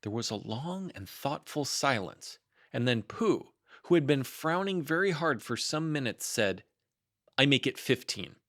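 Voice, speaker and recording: A male storyteller reads a children's book aloud. His delivery is expressive, with very lively intonation at a middling pitch, and the sound is dry. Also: unhurried, no echo.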